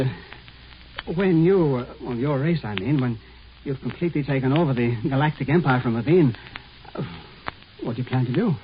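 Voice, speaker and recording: An actor playing a nervous, fanatical professor in a 1950s radio drama, intense and excitable with a halting delivery. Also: male voice, mid-range voice, natural voice. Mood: hesitant. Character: nervous, fanatical male professor guarding his planet's secret. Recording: muffled, noisy 1950s radio recording.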